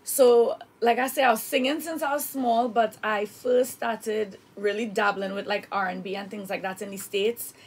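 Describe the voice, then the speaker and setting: A woman's voice: mid-range voice, steady tone, moderate pace, hardly any pauses, slightly rough. A young woman from Trinidad, a singer guesting in a radio studio, chatting and telling her story with acted-out quotes. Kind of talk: casual radio interview.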